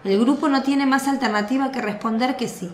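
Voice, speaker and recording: A woman narrating a radio drama with a clear storytelling delivery. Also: sits high, fairly quick, slight background noise. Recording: echoey room.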